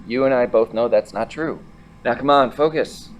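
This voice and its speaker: An eager, pushy amateur music producer , acted by a man in an audio drama. He explains things insistently at a medium pitch and is easily exasperated. There is faint noise behind him. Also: normal speed, natural voice, rough recording. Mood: persuasive. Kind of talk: scripted audio drama dialogue.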